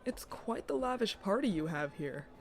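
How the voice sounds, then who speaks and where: High-voiced, eager young nobleman. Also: big pitch swings, moderate pace. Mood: jovial. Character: friendly, teasing young royal cousin, loyal, eager. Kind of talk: audio drama acting.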